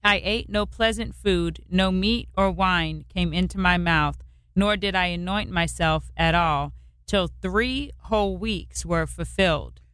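An American woman co-hosting a church radio show, affirming her co-host and reading scripture in a measured way. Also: lowish voice, smooth, no room sound. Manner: affirming, steady backing murmurs, measured reading.

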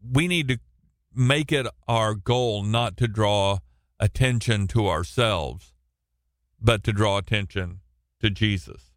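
Slow, loud-voiced radio Bible teacher. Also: male voice, lowish voice, no echo, clean studio sound. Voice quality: loud, projecting voice. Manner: halting, with frequent restarts and repeated words. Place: radio studio, alone.

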